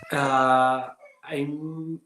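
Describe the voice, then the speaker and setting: A man in his thirties from Iraq, speaking in a halting way with many restarts as a guest filmmaker on a video call. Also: a little high, flat delivery, bright, forceful tone, roomy sound, slight background noise. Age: 30s. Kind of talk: casual interview over video call. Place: home during lockdown.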